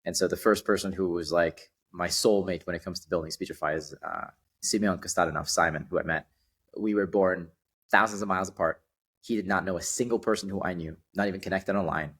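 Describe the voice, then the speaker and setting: Low-voiced young man, animated storyteller. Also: fairly quick, breathy edge. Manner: animated storyteller, frequent ums. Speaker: guest, startup founder. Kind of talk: casual podcast conversation.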